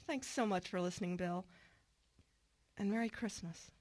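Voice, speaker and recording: A woman's voice: medium pitch, darkish tone, dry recording. An actress playing a troubled middle-aged woman, earnest and reflective, in a narrated radio drama. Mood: wistful, grateful. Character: troubled, wistful woman returning home to her estranged mother. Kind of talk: radio drama acting with first-person narration. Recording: clear background noise.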